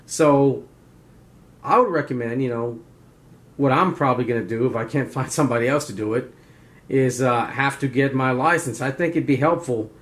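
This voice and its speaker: A man's voice: medium pitch, normal speed. A man hosting a preparedness video, explaining in a casual, unscripted, folksy way, rambling and often checking in with "right?". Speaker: survival and preparedness video host.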